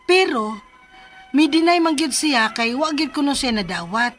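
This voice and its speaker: High-pitched distressed young Filipina bride-to-be. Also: female voice, animated, normal speed, a bit bright, soft background music. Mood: troubled narration. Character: distressed young bride-to-be whose wedding falls apart. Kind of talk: Filipino radio drama acting.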